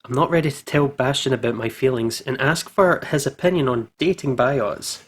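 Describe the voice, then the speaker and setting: Mid-pitched male let's play host. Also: moderate pace, breathy edge. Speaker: gaming video host. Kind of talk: let's play commentary and reading game narration aloud.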